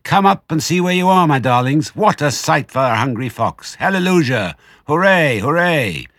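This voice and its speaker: A clever father fox from a children's storybook, played by a man, proud and commanding yet affectionate. The pitch swings widely as he exclaims to his children. The sound is dry and close. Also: sing-song, moderate pace, a bit bright, dry recording, exaggerated voice. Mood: jubilant, boastful.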